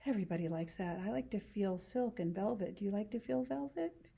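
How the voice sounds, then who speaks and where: A woman's voice: medium pitch, brisk, gravelly, mellow, breathy edge. Woman doing a vain, teasing young ranch wife. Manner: teasing, coy, rural grammar. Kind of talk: audiobook reading.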